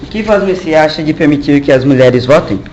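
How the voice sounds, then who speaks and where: A man's voice: a little high, animated, quick pace, darkish tone, muffled recording, a little hiss or hum, natural voice. A man voicing a compliant politician who speaks formally and agreeably.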